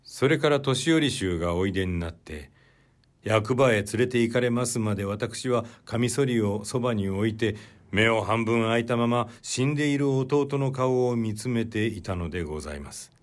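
A man playing a humble, troubled man in a period literary story, recounting his brother's death politely and in measured phrases. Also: medium pitch, close to an everyday voice. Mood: grave, humble.

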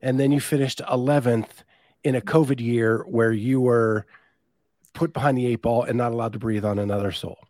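A man hosting a podcast, asking casual interview questions in a relaxed, conversational way. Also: medium pitch, normal speed, dry recording. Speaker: podcast host.

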